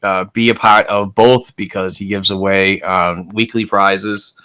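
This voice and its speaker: A man co-hosting a casual hockey podcast, halting and full of fillers. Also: sits low, fairly even intonation, bright, forceful tone, clear, resonant tone. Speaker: podcast co-host. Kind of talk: casual chat.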